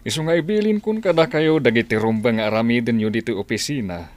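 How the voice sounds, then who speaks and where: A man's voice: medium pitch, quick pace, close to an everyday voice. A man with an Ilocano accent playing an authoritative office boss giving orders in a radio drama. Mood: businesslike.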